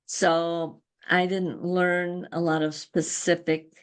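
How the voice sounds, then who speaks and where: A woman's voice: fairly low pitch, level tone, slow pace, smooth. An older woman casually recalling her experiences as a guest in an interview. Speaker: interview guest, crochet guild founder.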